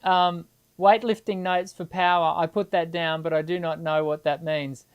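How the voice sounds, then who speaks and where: A woman's voice: fairly low pitch, fairly even intonation, normal speed, smooth, highs cut off. A middle-aged woman, hosting a live singing Q&A and answering viewers in a chatty, encouraging way. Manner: chatty and encouraging, many restarts and filler ums.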